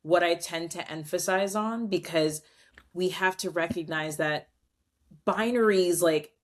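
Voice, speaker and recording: A woman's voice: fairly low pitch, measured pace. A woman guest on a podcast interview, talking in a loose, reflective way, acting out quoted voices and using plenty of "like" fillers. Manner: animated, acts out quoted voices, many "like" fillers; reflective, long-winded explanations. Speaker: guest, culture strategist.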